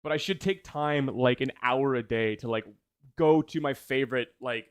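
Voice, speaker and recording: A man's voice: mid-range voice, normal speed, smooth, dry recording. Enthused guy rambling on stream, keeps correcting himself, really dry close sound. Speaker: video essayist, livestream host. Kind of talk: casual livestream chat with viewers.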